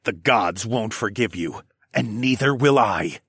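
Fantasy audiobook lead: male reader as fierce, smug dragon in human form, threatening growl, animated delivery, dry studio sound. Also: lowish voice, measured pace, husky, no echo, full character voice. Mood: angry.

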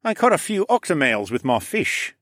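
Fantasy audiobook sidekick: male reader as loyal dragon shifter, wry and amused, slightly high, animated voice. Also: fairly quick, breathy edge, no echo, studio quality. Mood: smug amusement. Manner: amused, snorting, teasing.

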